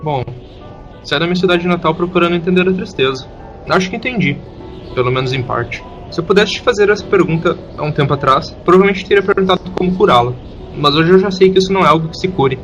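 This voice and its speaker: A man's voice: sits high, animated, fast talker, fairly bright tone, highs cut off, faint music behind. A man with a Brazilian accent playing an earnest, inquisitive young orphan boy in an audio story. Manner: frank, inquisitive. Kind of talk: audio drama acting.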